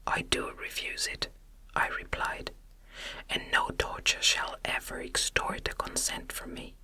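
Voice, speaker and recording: A woman reading a gothic novel as an ASMR narrator in a soft, breathy, gentle whisper. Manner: slow, gentle, deliberate reading. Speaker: audiobook narrator.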